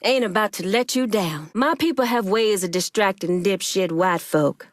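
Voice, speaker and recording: A woman's voice: mid-range voice, normal speed, dry recording, clean studio sound, close to an everyday voice. An actress playing a proud, shrewd woman crime boss in a video game, speaking firmly and bluntly. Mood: resolute.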